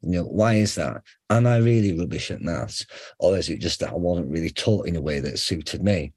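A middle-aged man with a faint Sheffield accent talks through ideas in a relaxed, conversational way. His voice sits very low and has a husky edge. The sound is dry and close, with no room around it. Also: normal speed, dry recording. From England. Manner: conversational, explains with examples, frequent fillers and restarts. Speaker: psychotherapist guest. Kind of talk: casual explanatory interview.

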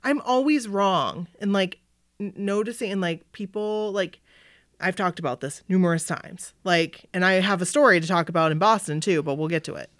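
A woman's voice: on the low side, normal speed, dry recording, clean studio sound. A woman co-hosting a casual podcast chat, telling stories with lots of fillers, a little sniffly from a cold. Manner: chatty, animated storyteller with many fillers. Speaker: podcast co-host. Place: basement room.

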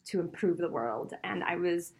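A woman's voice: lowish voice, lively intonation, moderate pace, a little mellow. A middle-aged woman who grew up between Venezuela and the US, a law professor answering interview questions in a chatty, reflective way, with frequent laughs.

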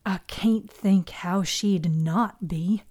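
A woman performs a shaken young male in a spooky fiction podcast. He speaks slowly and softly, stammering in earnest dread, with a mellow tone. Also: medium pitch, slightly rough. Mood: shaken, hesitant. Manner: halting, stammering.

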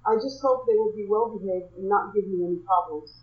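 A woman's voice: medium pitch, brisk, distant mic, lightly acted. A woman voicing a shy, nervous young man who repairs shoes, speaking hesitantly and sometimes stammering. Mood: bitter. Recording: muffled, echoey room.

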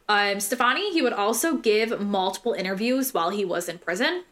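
A woman's voice: medium pitch, a bit bright. A young American woman hosting a true crime podcast, telling the story casually in slangy, irreverent language and swearing freely.

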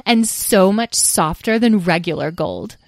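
A woman's voice: lowish voice, lively intonation, a little slow, creaky, no room sound. Lady doing a dainty greedy lil storybook fairy, very full of itself, dry sound. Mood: enchanted. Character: dainty yellow fairy, ageless, greedy, grandly self-important.

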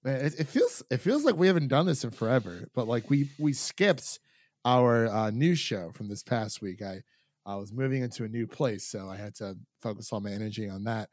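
Dude podcast host, medium pitch, chatty and into it, no room sound. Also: male voice, expressive, normal speed, darkish tone, dry recording, clean studio sound. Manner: informal, enthusiastic, rambling. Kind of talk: casual film review chat.

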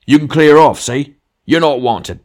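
A man's voice: lowish voice, fairly quick, pitched above the performer's own voice, lightly acted. A man voicing a big, sulky working-class male ghost in an audiobook, self-righteous and argumentative, full of blustering grievance. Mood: indignant, angry. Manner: blustering, argumentative, punctuating with demands. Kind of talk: literary audiobook character dialogue.

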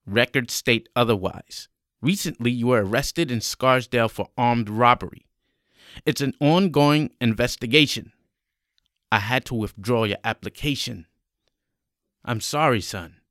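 Man acting out a brusque, forceful military sergeant. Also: mid-range voice, measured pace, dry recording, clean studio sound. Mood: apologetic, dismissive. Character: evasive, dismissive male Air Force sergeant.

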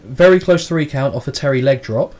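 A man's voice: mid-range voice, brisk, darkish tone. A man co-hosting a podcast, recapping and bantering casually with dry, cheeky humour. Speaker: podcast co-host.

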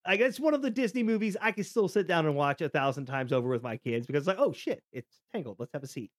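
A middle-aged man hosts a podcast, telling stories casually. His voice sits a little high and he often restarts and repeats words. The sound is very dry. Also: lively intonation, quick pace, no echo. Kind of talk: casual conversational storytelling.

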